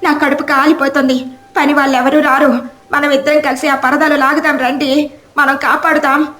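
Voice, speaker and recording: A woman's voice: sits high, flat delivery, moderate pace, fairly bright tone, roomy sound, pitched above the performer's own voice. A woman voicing a distressed, remorseful village wife in a moral story, plaintive and emotional. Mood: tearful alarm. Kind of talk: dramatic storybook character reading.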